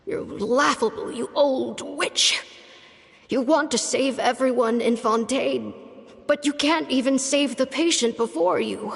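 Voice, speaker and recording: A weary, self-mocking doctor in a video game. She speaks slowly in a drained, bitter voice full of creak. A long reverb trails her words. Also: high voice, full character voice.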